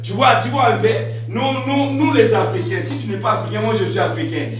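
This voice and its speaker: A man from Congo preaching a sermon in Congolese-accented French, shouting and calling for responses from the congregation. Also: sits high, fairly quick. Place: church hall. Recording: echoey hall from far off with music.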